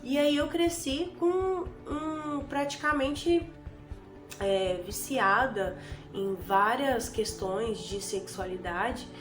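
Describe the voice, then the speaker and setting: A Brazilian Portuguese woman who has a cold speaks slowly and haltingly, filling pauses with little fillers and circling back over her words. Her voice sits a touch high and rings around a reverberant room, with faint music in the background. She talks unscripted, straight to her camera. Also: lively intonation. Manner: hesitant, filler-heavy, repeats herself. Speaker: vlogger giving a conversion testimony. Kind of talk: unscripted personal testimony. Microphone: camera and phone.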